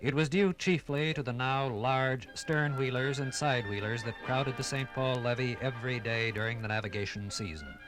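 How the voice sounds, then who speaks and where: A man's voice: medium pitch, moderate pace, hardly any pauses, low-quality recording. Emphatic male vintage radio announcer with music. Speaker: radio narrator. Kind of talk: 1950s scripted radio documentary narration. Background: instrumental music.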